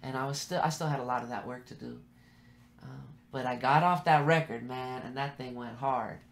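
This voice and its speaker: A man's voice: mid-range voice, moderate pace, creaky. A man from the Bay Area with a Californian accent, telling stories casually and in slang as a podcast guest, acting out bits of dialogue as he goes. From San Francisco Bay Area, California, US. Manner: slangy, animated storytelling with acted-out quotes. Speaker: musician interviewed as guest.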